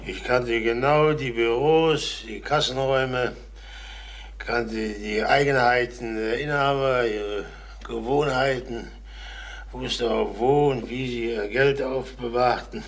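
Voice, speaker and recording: An actor playing a troubled middle-aged ex-salesman turned burglar in a crime radio drama, halting, confessional and repeating his words. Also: medium pitch. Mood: brooding, confessional. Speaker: former travelling salesman.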